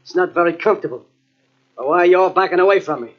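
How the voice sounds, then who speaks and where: A menacing gangster with big ideas and a frayed temper, played by a male radio actor. His voice sits fairly high for a man, and he talks in bossy tough-guy slang. The sound is that of a muffled old radio crime show. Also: fast talker, lightly acted. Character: menacing, grandiose gangster escaped from execution, growing frantic.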